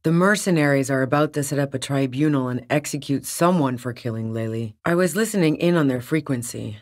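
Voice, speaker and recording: A woman's voice: a bass voice, brisk, darkish tone. A woman voicing a tough, wary fugitive in a video game, blunt, wry and defiant, with profane, slangy talk. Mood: grim, resigned. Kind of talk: video game character dialogue.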